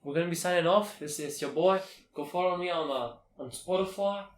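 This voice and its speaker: A man's voice: high-pitched, a little fast, recorded from a distance. A young adult man co-hosting a podcast in casual, rambling, slangy and jokey chat. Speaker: podcast co-host. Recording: echoey room.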